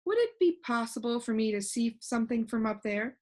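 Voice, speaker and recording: A woman's voice: on the high side, animated, fairly quick, darkish tone, natural voice. A woman voicing an earnest, polite and curious young boy in a children's storybook. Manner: serious, polite.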